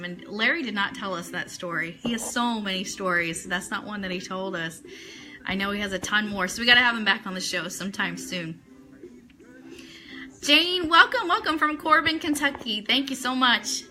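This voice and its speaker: A woman with an American accent hosts a live online show over music. Her voice is high and very bright, with a forceful edge. She moves between chatty remarks to viewers and reading prepared items aloud. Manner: chatty, greets viewers by name. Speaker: host of an online fan radio show. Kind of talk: live-stream chat mixed with reading aloud.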